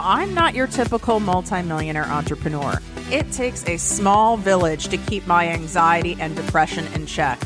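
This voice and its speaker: A woman hosting her own podcast, talking frankly and with self-deprecating humor in an energetic solo monologue. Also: medium pitch. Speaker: podcast host.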